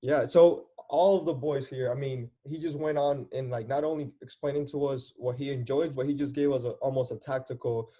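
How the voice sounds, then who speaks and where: Mellow-voiced man coaching through an interview. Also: medium pitch, fairly even intonation, a little fast, few pauses. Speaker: youth soccer coach hosting an interview. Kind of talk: conversational interview in front of young players.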